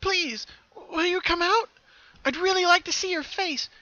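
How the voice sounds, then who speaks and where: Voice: very high voice, measured pace, bright, forceful tone, lo-fi sound, pitched above the performer's own voice, full character voice. A story reader voicing a sad, timid but hopeful young female cartoon pony, speaking in an earnest, hesitant way. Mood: pleading.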